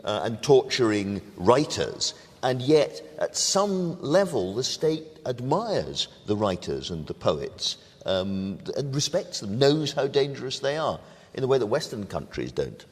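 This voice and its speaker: A man hosting a conversational literary discussion, with a husky voice and questions put to his guests. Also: mid-range voice, big pitch swings, unhurried. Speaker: host moderating a discussion. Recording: very reverberant hall.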